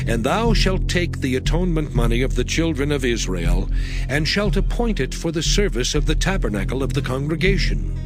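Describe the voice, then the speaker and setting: A man playing a solemn voice of divine instruction in a dramatized scripture reading, deliberate and emphatic. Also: medium pitch, lightly acted. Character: solemn male voice reciting God's detailed sacred instructions.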